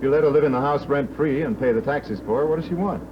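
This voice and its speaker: An actor with an American accent playing a wry, wisecracking newlywed mystery writer in a 1950s radio drama. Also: male voice, mid-range voice, quick pace, natural voice. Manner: wisecracking and dry. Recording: dull hissy old radio recording.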